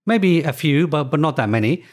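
A middle-aged man, the guest of an interview, talking in a relaxed, thoughtful way with plenty of "you know" fillers. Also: fairly high pitch, normal speed. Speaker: guest, author of language books.

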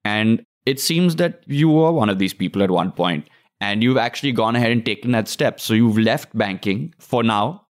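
A man's voice: on the low side, lively intonation, normal speed. A man hosting an interview, asking questions casually and often affirming with "yeah.". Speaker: interviewer host.

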